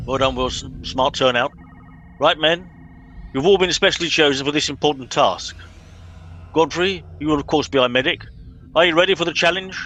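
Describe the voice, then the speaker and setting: A man's voice: on the high side, normal speed, a bit bright, faint music behind, natural voice. A man playing a pompous, officious and easily exasperated captain in a comic radio play. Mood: brisk authority. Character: pompous, impatient male captain of bumbling crew.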